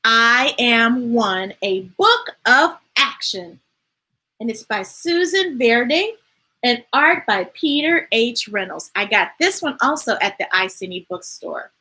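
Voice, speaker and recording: Woman, children's storytime host, high lively voice, playful emphatic read-aloud, unhurried. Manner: expressive, playful, emphatic reading. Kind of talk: animated read-aloud for children with chatty asides on a livestream.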